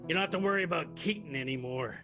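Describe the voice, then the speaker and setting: A man playing a halting, smitten police detective in an improvised 1920s radio soap. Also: fairly high pitch, music in the background, natural voice. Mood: earnest, confiding. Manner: halting, stammering.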